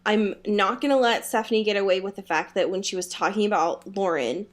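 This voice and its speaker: A woman's voice: medium pitch, a little fast. A young woman co-hosting a podcast, chatting casually and comically about a book in a sassy, emphatic, sweary way. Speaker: podcast co-host. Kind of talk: casual comedic book discussion.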